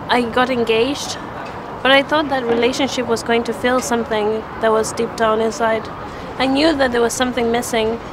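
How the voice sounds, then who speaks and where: A young woman from Zimbabwe giving a personal faith testimony in an earnest, even way, with small restarts. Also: a little high. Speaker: church testimony speaker. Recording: outdoor street noise behind the voice.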